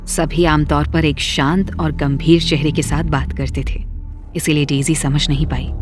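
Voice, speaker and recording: A narrator reading a scripted dramatic story. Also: medium pitch.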